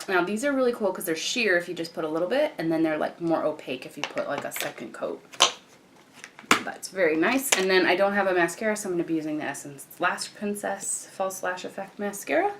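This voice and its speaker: A young woman with a croaky morning voice, chatting casually as she walks through a makeup tutorial. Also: on the low side, a little fast, background noise. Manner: chatty, relaxed, frequent fillers and restarts. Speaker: beauty vlogger.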